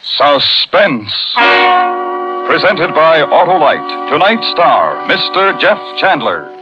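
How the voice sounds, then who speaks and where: A man doing dramatic 1950s radio announcing in a theatrical, measured way. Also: a little high, very animated. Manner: theatrical, measured billing of stars. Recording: muffled old radio broadcast with music.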